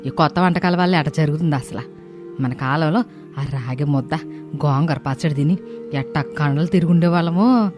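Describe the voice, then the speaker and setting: A woman voicing a nostalgic elderly mother-in-law who complains and laments, in an animated moral story. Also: sits low, moderate pace, soft background music, natural voice. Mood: grumbling. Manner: complaining, sighing over old times.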